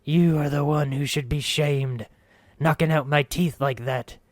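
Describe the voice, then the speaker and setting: A man putting on a squeaky fake ghost. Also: fairly even intonation, measured pace, no echo, natural voice. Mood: mock-haunting. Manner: put-on high voice with a silly accent, squeaky. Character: man faking a ghostly dead voice, mock-haunting.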